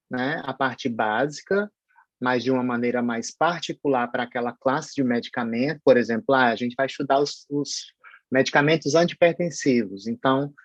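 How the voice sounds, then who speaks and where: A lecturer from Paraíba with a Northeastern Brazilian accent, giving an online university class in a hesitant, explanatory way with many fillers and restarts. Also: male voice, medium pitch, no echo, muffled recording. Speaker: pharmacology lecturer.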